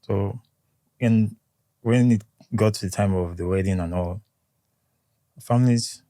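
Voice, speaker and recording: A young Nigerian man with a Nigerian accent and a low, warm voice. He speaks calmly and in a measured way as an interview guest. The recording is dry. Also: dry recording. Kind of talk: conversational interview.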